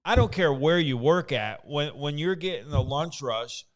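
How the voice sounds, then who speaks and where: A man co-hosting a casual studio chat, quick with asides and laughing often. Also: on the high side, animated, rough recording. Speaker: co-host.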